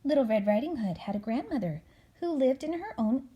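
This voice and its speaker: A woman reading a storybook to a child as a mother, dramatic and expressive, dropping into hushed tones for suspense. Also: high-pitched, fairly quick, breathy edge, lo-fi sound.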